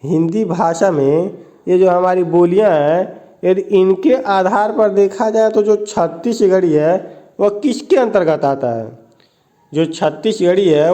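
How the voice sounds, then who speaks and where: A man's voice: sits high, mellow. A man teaching an exam prep lesson, reading each question and its options, then repeating the answer back as a question. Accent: Hindi, north Indian.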